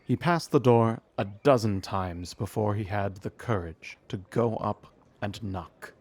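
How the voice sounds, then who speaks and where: A man narrating a storybook audio drama in a measured storytelling manner. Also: lowish voice, a little mellow. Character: omniscient storybook narrator of a Christmas tale.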